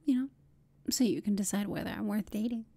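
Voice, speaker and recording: A woman playing a confident, teasing female villain in an intimate ASMR roleplay, speaking in a smug, seductive, breathy whisper. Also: medium pitch, a little fast, dark tone, no echo. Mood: flirtatious.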